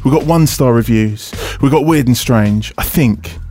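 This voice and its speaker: A man's voice: mid-range voice, moderate pace, dark tone. A man hosting a radio tech show in a studio, talking casually, restarting sentences and laughing easily. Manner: chatty, self-interrupting, laughs easily. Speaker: radio and podcast host. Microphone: studio microphone.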